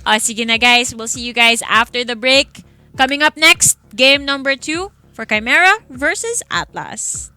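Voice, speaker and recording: A woman's voice: high-pitched, a little slow, bright, forceful tone. A woman with a Filipino accent co-hosting an esports livestream in a casual announcing style. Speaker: esports caster and co-host.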